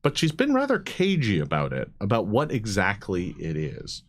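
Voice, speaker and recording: A man narrating a tabletop game as game master, improvising haltingly and often repeating his words. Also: fairly low pitch, wildly swooping pitch.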